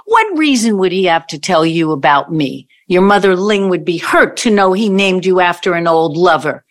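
A woman's voice: on the low side, lively intonation, normal speed, close to an everyday voice. Wronged older ex-girlfriend with swooping intonation, acted by a woman. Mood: accusing. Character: older woman, wronged ex-girlfriend, hesitant but bitterly accusing. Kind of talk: audio play acting.

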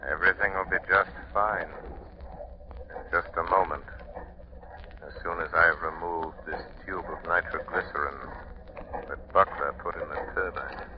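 Invisible crime-fighter for radio drama, played by a man: American, deep, sinister, mocking, on a dull, hissy vintage recording. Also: fairly even intonation, normal speed, pauses often, close to an everyday voice. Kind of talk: 1940s radio drama acting. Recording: muffled, noisy old radio recording.

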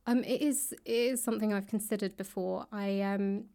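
A woman's voice: medium pitch, fairly even intonation, normal speed, warm tone. Thoughtful woman explaining in conversation. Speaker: interview guest, author and actor. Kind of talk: conversational interview answers.

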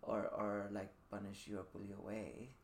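A man in his thirties from Dubai, a podcast guest telling his personal story reflectively in thoughtful, measured phrases. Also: lowish voice, flat delivery, creaky. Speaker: podcast guest, performing artist. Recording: faint room noise behind the voice.

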